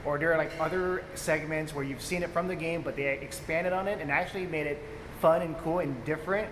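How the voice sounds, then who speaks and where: A man with a slightly high voice hosts a casual, unscripted film review. He rambles informally, like an enthusiastic fan. The sound is very echoey, with clear noise behind him. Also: fairly even intonation, a little fast. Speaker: movie review host. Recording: echoey reverberant room, clear background noise.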